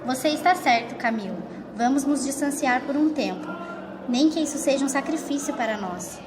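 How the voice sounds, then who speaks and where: In a reverberant, noisy hall recording, a woman plays an anxious wife caught in a secret love affair. Her voice is high and pleading, in the manner of an amateur radio play. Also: moderate pace, lightly acted. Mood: resolute. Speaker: student actor in a school radio play. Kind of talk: amateur radio drama acting.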